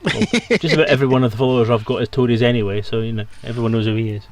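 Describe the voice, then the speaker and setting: A man with an average-pitched voice hosts a streamed interview. He talks informally and rambles a little, as a streamer does among guests. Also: fairly even intonation, rapid-fire, slight background noise. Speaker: host and streamer. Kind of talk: casual livestream interview.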